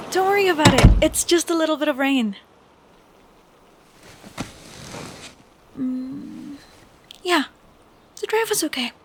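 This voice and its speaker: A young woman playing an affectionate, troubled college-age best friend in an intimate audio roleplay, speaking softly and hesitantly with sighs. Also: high voice. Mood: friendly, relieved. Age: young adult. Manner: soft, hesitant, slow with sighs. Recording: steady background noise of rain. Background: rain sounds.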